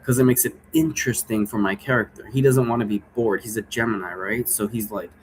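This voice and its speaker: A man's voice: fairly low pitch, steady tone, fairly quick. Casual rambling man, noisy background. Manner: casual, digressive, frequent rhetorical questions. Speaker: role-play game creator and presenter. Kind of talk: informal explainer, rambling and improvised.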